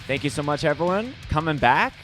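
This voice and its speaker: A man's voice: a little high, animated, fairly quick, over music. A man co-hosting a podcast in a casual, informal and chatty way. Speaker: podcast co-host.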